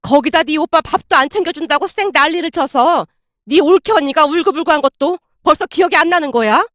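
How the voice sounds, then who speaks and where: A woman's voice: high voice, animated, brisk, no room sound, clean studio sound. A woman playing a blunt, scolding older mother-in-law. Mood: furious scolding. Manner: indignant, blunt, berating.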